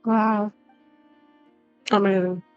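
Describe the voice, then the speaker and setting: Slow-talking woman streamer reacting casually. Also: mid-range voice, flat delivery, a little mellow, dull audio, faint music behind. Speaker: game streamer. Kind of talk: casual live reactions to gameplay.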